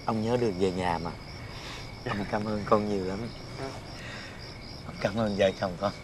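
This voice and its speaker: A man playing a frail, hungry, humble old wanderer in a televised fairy tale, speaking feebly and haltingly. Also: on the low side, fairly even intonation, noisy background, close to an everyday voice. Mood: grateful.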